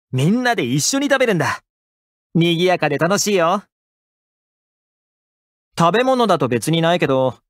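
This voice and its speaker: Animated, friendly young anime housekeeper. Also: male voice, on the high side, dry recording, studio quality. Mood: cheerful, warm. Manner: casual, gently attentive, expressive. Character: friendly, caring young male housekeeper, upbeat and helpful. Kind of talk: Japanese anime game character voice lines.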